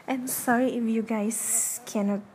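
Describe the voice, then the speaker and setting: A young Indonesian woman whose first language is Indonesian hosts her own podcast in a soft, hushed, slow way, talking casually from her bed late at night with a fan running in the room. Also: fairly high pitch, fairly even intonation. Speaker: podcast host. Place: dark bedroom at night with an electric fan running. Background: electric fan hum.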